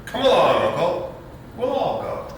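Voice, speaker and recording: Brash young nephew in stage comedy played by a man, teasing, higher-pitched, distant roomy sound. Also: wildly swooping pitch, measured pace, full character voice. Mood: cajoling. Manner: emphatic, teasing. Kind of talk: stage readers' theater acting. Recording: roomy, distant mic, faint rumble.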